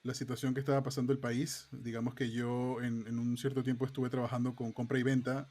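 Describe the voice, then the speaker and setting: A man's voice: mid-range voice, flat delivery, a little fast, a little mellow. A man, a guest telling his story in a thoughtful, rambling way with many fillers. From Peru, raised in Venezuela. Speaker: guest, software QA engineer. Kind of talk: casual interview conversation. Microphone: remote call setup.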